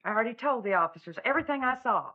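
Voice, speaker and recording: An actress playing a chatty young woman eyewitness in a comic radio drama, rambling and deadpan. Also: a little high, very fast, lo-fi sound. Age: 20s. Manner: chatty, meandering, with an offbeat deadpan.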